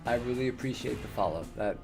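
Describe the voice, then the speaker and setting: A man in his fifties, chatting casually and warmly with viewers on his live gaming stream, rambling with many fillers. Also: medium pitch, fast talker, vocal fry, over music. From United States. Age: 50s.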